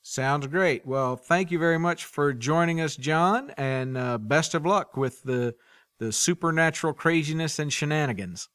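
A man's voice: a little high, normal speed, a bit bright, clean tone, no room sound. A man hosting a podcast, presenting and interviewing in a prepared, orderly way into a close mic. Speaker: podcast host.

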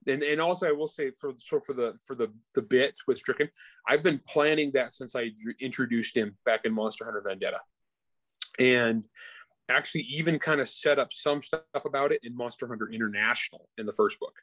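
A man's voice: mid-range voice, fairly quick, fairly bright tone, dry recording, poor audio. A man speaking as a guest author in a casual interview, informal and slangy, often restarting his sentences. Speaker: guest author discussing own book.

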